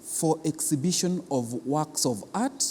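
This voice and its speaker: A man with a Kenyan accent giving explanatory interview answers as an arts institution official, halting and deliberate, often repeating words for emphasis. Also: fairly high pitch, expressive. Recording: long reverberant hall, clear background noise.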